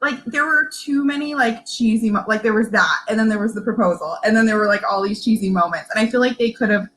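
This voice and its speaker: A woman co-hosting a casual book club chat, thinking aloud in halting phrases. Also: fairly high pitch, fairly bright tone, poor audio. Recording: faint hum and background noise.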